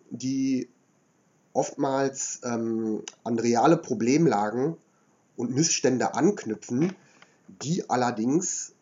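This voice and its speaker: A man with a slight cold and a hoarse edge, explaining history as a podcast host in a measured lecturing way with frequent filler sounds. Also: mid-range voice, warm tone, dull audio.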